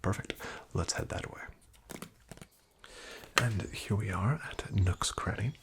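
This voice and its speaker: A man speaking in a breathy, often whispered ASMR style, playing a friendly, unhurried real estate agent. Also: on the low side, heavy background noise. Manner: friendly, unhurried, gently attentive salesman. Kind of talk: soft-spoken, whispered ASMR roleplay.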